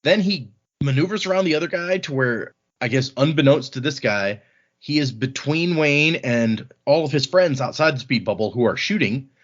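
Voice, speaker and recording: A male podcast host talks through a novel in a loose, conversational way. His voice sits at a medium pitch and he drops in wry, colloquial asides. The recording is a bit dull and lo-fi. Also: animated, moderate pace, fairly bright tone. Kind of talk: casual chat, informal book recap.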